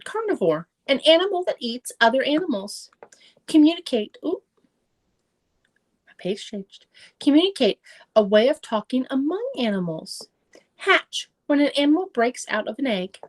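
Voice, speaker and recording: A woman's voice: sits high, very animated, normal speed. A middle-aged woman reading a children's nonfiction book aloud, carefully, with simple explanatory asides for young listeners. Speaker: children's read-aloud presenter.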